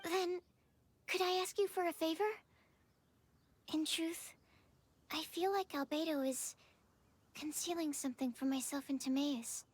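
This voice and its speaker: An eager young alchemy student speaks in a fairly high voice. She is polite and earnest, and pleads a little as she asks for help. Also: fairly even intonation, unhurried, long pauses.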